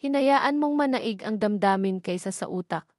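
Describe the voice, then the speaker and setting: A woman's voice: mid-range voice, animated, moderate pace, darkish tone, no echo, clean studio sound, natural voice. A woman voicing a cold, commanding schemer who gives terse, imperious orders in an audio drama. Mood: calculating.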